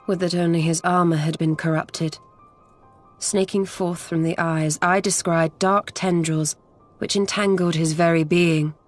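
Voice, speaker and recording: Woman acting a solemn female mage, lowish voice, composed archaic delivery, video game cutscene, faint music. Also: fairly even intonation, lightly acted. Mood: grave. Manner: measured, archaic, solemn phrasing. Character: composed, wise sorceress, grave and archaic.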